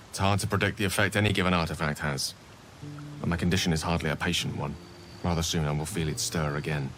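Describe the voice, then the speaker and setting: An actor playing a courteous, learned wizard with a grave secret, speaking in formal, wordy video game dialogue. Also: male voice, low-pitched, fairly bright tone. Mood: relieved, grave. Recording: music and rain behind the voice. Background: music, rain.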